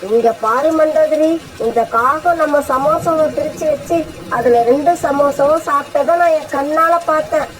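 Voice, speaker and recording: A woman's voice: sits high, moderate pace, lightly acted, low-quality recording. A woman voicing an indignant, threatening eagle in a cartoon story. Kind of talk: dubbed cartoon dialogue.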